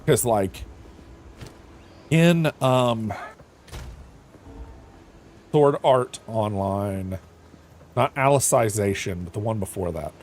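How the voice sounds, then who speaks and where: A man's voice: on the low side, expressive, takes it slowly, long pauses, a little mellow. A man streaming a game from home with a crackly voice, rambling and thinking aloud with lots of fillers and self-corrections. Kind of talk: casual chat while gaming.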